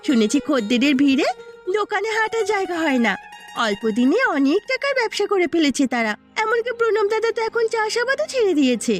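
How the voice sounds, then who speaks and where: A woman voicing a village wife in a cartoon, animated and expressive. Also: very high-pitched, a little fast, faint music behind. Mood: earnest, gossiping. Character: village women, a peasant's wife and her elderly mother-in-law. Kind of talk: Bengali cartoon voice acting.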